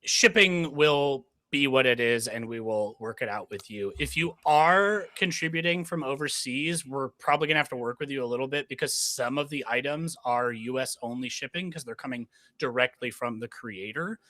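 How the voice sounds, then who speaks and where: A man co-hosting a casual livestream, joking and quick to agree with the others. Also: mid-range voice, bright, forceful tone, dry recording. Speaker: livestream co-host.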